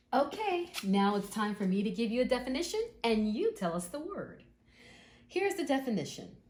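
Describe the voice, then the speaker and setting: Slow, lively teacher woman in a roomy space. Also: mid-range voice, a little hiss or hum. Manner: slow, patient, drawn-out explaining; thinks aloud, repeats words. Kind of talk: explaining word roots in a recorded lesson.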